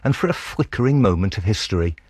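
A man with a very low, husky voice narrates a scripted dispatch as a foreign correspondent. His delivery is measured and literary, like polished radio storytelling. The sound is close and dry. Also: lively intonation, no echo.

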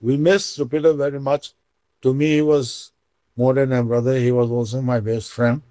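A man's voice: mid-range voice, little pitch movement, moderate pace, mellow, dry recording. A man from northeast India with an Indian accent, sharing personal memories as an interviewee. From Manipur, India. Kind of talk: personal reminiscence, conversational.

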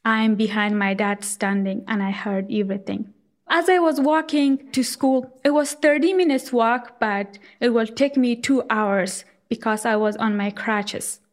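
A woman's voice: fairly high pitch, steady tone. Earnest woman from Afghanistan. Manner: earnest, halting with fillers. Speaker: storyteller. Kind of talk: personal storytelling.

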